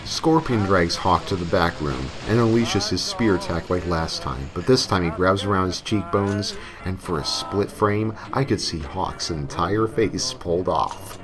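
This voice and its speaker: A man's voice: deep voice, a little slow, crowd noise. A man reading a scripted first-person creepypasta story as its narrator. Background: music.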